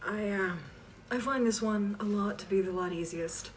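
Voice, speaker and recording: A woman's voice: medium pitch, normal speed. A woman in her twenties answering questions in a casual, rambling chat, often interrupting herself. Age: 20s. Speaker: online voice performer answering fan questions. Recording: distant mic with clear background noise.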